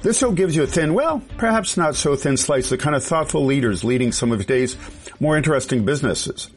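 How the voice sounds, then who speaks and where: A man's voice: a little high, animated, brisk. Curious male talk-show host, faint music behind. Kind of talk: interview conversation.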